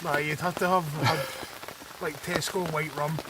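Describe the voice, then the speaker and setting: A man with a slightly higher voice than most men jokes and teases in dry, sarcastic banter. Heavy rain drums loudly all around him outdoors. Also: fairly even intonation, moderate pace. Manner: joking, teasing, sarcastic. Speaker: camping companion. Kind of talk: casual banter. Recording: loud rain noise outdoors.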